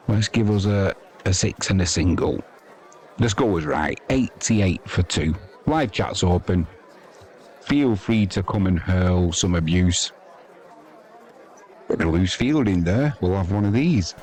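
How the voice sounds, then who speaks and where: A man's voice: low-pitched, animated, slow pace, long pauses, darkish tone. A man hosting a live cricket score stream with casual commentary, chatty, informal and self-deprecating. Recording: crowd noise behind the voice. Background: sports crowd.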